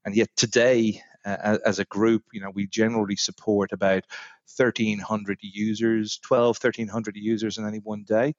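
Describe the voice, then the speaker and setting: A middle-aged Irish man, a technology executive, answering podcast interview questions in a measured way with frequent fillers. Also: on the low side, level tone, dry recording, lo-fi sound. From Ireland.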